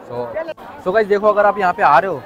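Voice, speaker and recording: A man's voice: high voice, fairly quick, lo-fi sound. A young man chatting casually to viewers as a vlogger. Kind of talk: casual vlog chat to viewers. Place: crowded outdoor street market. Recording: loud outdoor market crowd noise. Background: bustling crowd and street sounds.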